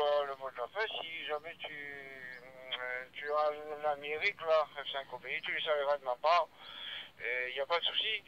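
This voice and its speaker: A man's voice: on the high side, pushed and bright. A man chatting casually as an amateur radio operator, hesitant and searching for words, heard through a radio transmission. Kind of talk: casual ham radio chat. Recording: dull lo-fi radio link, faint noise.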